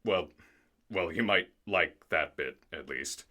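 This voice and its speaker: A man playing a flustered, theatrical radio drama narrator, halting and dramatic as he pleads. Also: deep voice, bright, forceful tone, exaggerated voice.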